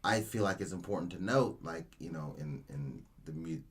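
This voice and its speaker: A man's voice: low-pitched, moderate pace. A young man from Cameroon, a guest on an interview show, telling a story in a loose, rambling way, often with "you know" and "right?". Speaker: interview guest, media creator. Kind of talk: casual storytelling chat.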